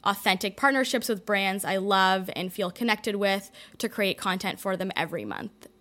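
A young woman with a Canadian accent, a guest telling her career story in a casual podcast chat, quick and full of likes and ums. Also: medium pitch, expressive, pushed and bright. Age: young adult. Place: indoor studio in a building.

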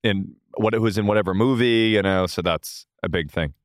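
Baritone man, chatting with restarts. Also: dry recording, studio quality. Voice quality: low baritone. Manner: halting, many restarts. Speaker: guest, impressionist. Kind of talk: casual chat.

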